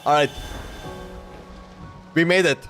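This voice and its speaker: A man's voice: a little high, fairly even intonation, takes it slowly, a bit bright. A man doing casual, slangy live commentary as he streams a video game. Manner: slangy, informal. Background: game music.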